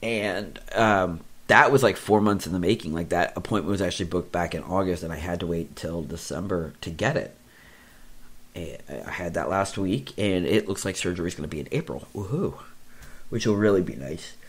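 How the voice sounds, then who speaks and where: A man's voice: moderate pace, breathy edge. A middle-aged man with a scratchy voice giving a rambling, casual personal update from his office, often repeating himself. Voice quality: scratchy, deeper voice. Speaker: podcast host. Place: indoors in an office.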